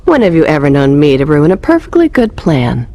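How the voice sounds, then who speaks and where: A woman's voice: sits low, huge pitch swings, a little fast, dark tone, no room sound, heavily acted. A woman playing a bold, confident newspaper reporter with a wry, quippy manner in a superhero game. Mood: wry confidence. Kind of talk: animated superhero game voice acting.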